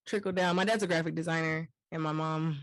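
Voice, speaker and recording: A woman with a deep voice, a singer-songwriter guest talking in a relaxed, rambling way on a podcast. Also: a little fast, no echo. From family from Jamaica. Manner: relaxed, rambling, laughs easily. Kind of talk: casual interview chat.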